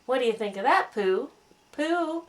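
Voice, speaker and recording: A woman's voice: high voice, lively intonation, a little slow, pitched above the performer's own voice. A woman voicing a self-important, talkative owl in a children's story, pompous and long-winded. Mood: pompous, rambling.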